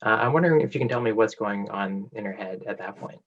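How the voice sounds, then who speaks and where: Male entertainment interviewer, thoughtful, a bit husky. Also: lowish voice, quick pace. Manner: thoughtful, with "uh" fillers. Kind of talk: interview questions. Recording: dull lo-fi audio.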